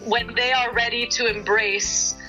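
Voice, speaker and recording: A middle-aged woman originally from Singapore answers interview questions about her music. Her voice is bright and forward, and she thinks aloud, full of ums and small restarts. Faint music sits underneath. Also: a little high, flat delivery, a little slow, rough recording. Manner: thoughtful, hesitant, many fillers and restarts. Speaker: singer-songwriter guest.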